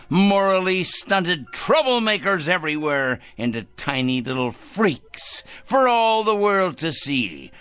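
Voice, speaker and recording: An actor playing a deranged, self-righteous crank in an audio drama, ranting gleefully and muttering to himself. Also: male voice, mid-range voice, fairly bright tone. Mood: grandiose, vengeful. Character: gleeful deranged crank bent on judging evil people. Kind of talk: theatrical audio drama acting.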